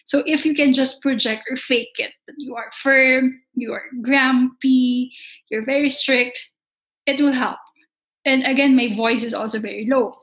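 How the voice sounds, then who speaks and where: A young woman with a Filipino accent, a culinary instructor answering interview questions with halting, careful phrasing and frequent restarts. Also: high-pitched, fairly even intonation, a bit bright, low-quality recording. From Philippines. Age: young adult. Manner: halting, many restarts, speaks with care.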